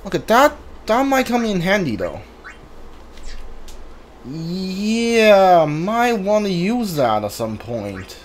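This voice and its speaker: A gamer giving casual playthrough commentary, thinking aloud in halting fragments over a game. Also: medium pitch, very animated, a little hiss or hum. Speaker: gamer, streamer. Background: game music.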